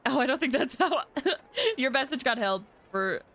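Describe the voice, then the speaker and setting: A woman's voice: sits high, animated, normal speed, a bit bright. A woman chatting casually and animatedly on a game livestream, rambling as she talks to viewers.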